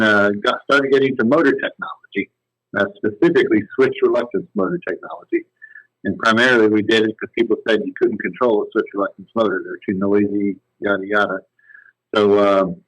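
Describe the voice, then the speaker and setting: A man telling his company's history as a phone-in podcast guest, in a relaxed, rambling way. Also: fairly low pitch, dry recording, poor audio. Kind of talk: casual storytelling interview.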